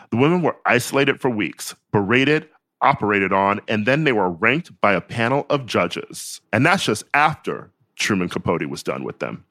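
A man's voice: medium pitch, a bit bright, no echo. A man hosting a podcast, playful and teasing, also reading an ad. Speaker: podcast host. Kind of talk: casual chat, read ads.

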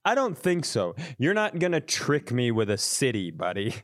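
Seorang pria co-host obrolan komedi santai, menanggapi dengan celetukan jenaka dan sinis. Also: male voice, medium pitch, huge pitch swings. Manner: quick wry reactions, frequent laughter. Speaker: podcast co-host. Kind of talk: casual comedy chat, reacting.

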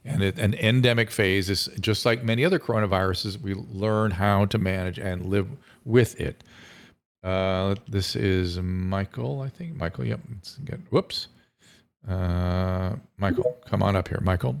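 A man's voice: sits low, steady tone, measured pace, vocal fry. A middle-aged man, a physician hosting a live call-in show, talking earnestly and emphatically with frequent restarts. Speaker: physician talk show host.

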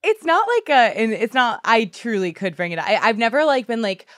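A woman's voice: a little high, big pitch swings, fairly quick, fairly bright tone, dry recording, clean studio sound. A woman co-hosting a podcast, chatty and self-deprecating, laughing often. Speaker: podcast co-host. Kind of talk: casual chat.

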